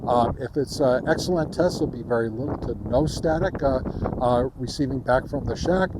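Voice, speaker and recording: A man presenting an informal field test outdoors, speaking haltingly with many ums. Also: mid-range voice, heavy background noise. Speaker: ham radio video reviewer, host. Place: outdoors in a windy park.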